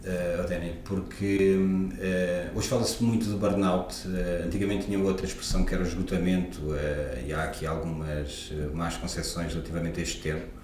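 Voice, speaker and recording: A man's voice: sits low, steady tone, moderate pace, a little mellow, a little hiss or hum. A man in his forties from Lisbon with a European Portuguese accent, a guest osteopath talking reflectively with many hesitations. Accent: European Portuguese, Lisbon area. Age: 40s. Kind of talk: casual interview talk. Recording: echoey room, off-mic, faint ambience.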